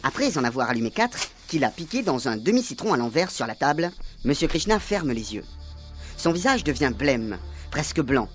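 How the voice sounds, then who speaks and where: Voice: mid-range voice, sing-song, normal speed, close to an everyday voice. A narrator telling a comic radio serial in French, with a theatrical, suspenseful delivery. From Réunion. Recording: music under the voice. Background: music.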